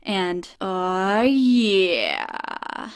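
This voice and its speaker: A woman's voice: mid-range voice, wildly swooping pitch, extremely slow, smooth. A woman reviewing an old film in a sardonic, casually profane comedic style.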